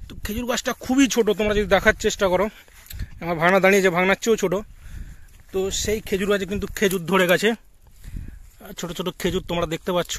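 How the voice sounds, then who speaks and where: High-voiced man vlogging outdoors. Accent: West Bengal, Midnapore. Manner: chatty, addresses viewers as guys, gets excited. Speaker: vlogger filming his group. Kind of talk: casual vlog commentary to camera. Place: outdoors in a cashew grove.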